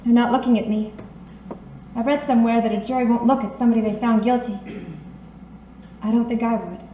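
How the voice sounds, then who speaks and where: A woman's voice: on the high side, fairly even intonation, a little fast, narrow, tinny audio, close to an everyday voice. Echoey, brave young dame, acted by a woman. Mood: worried. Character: plucky woman on trial, resigned yet brave. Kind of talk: audio drama acting in a detective serial. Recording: echoey, distant, faintly noisy recording.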